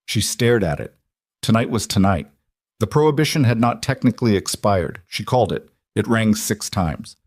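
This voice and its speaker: A man's voice: lowish voice, animated, normal speed, darkish tone, no echo, clean studio sound. A man reading scripted dramatic fiction as an audiobook narrator.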